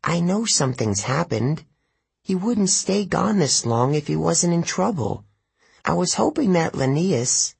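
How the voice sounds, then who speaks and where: A man voicing a young female tree nymph, soft, pleading and earnest. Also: on the high side, very animated, a little slow, creaky, slightly rough, no room sound, voice pushed up, exaggerated voice. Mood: tearful.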